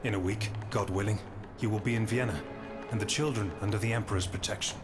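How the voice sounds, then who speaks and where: An actor playing a secretive gentleman conspirator in a video game, formal, assured and urgent. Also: on the low side, steady tone, fast, natural voice. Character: brisk, secretive gentleman conspirator planning an escape. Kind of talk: dramatic video game cutscene acting. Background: music.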